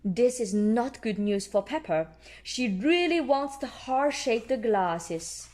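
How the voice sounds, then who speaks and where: A woman storyteller reading children's picture books aloud in an expressive, deliberate way, adding her own small asides. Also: fairly high pitch. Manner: expressive, deliberate delivery for toddlers. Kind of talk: children's read-aloud narration with ad-libbed asides.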